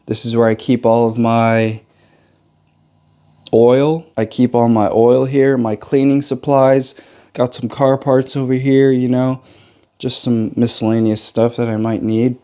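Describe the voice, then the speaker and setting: A young man in his twenties, a bit sick and hoarse, chatting loosely to viewers about his car project. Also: mid-range voice, little pitch movement, measured pace, warm tone. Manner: loose and joking, addresses viewers as guys. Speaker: car vlogger. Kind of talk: casual vlog chat. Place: home bedroom, then cold outdoor garage.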